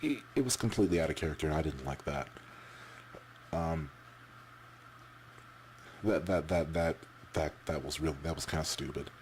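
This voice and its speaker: A man giving casual, off-the-cuff opinions as a vlogger, halting and repeating words as he rambles. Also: a bass voice, a little mellow, a little hiss or hum.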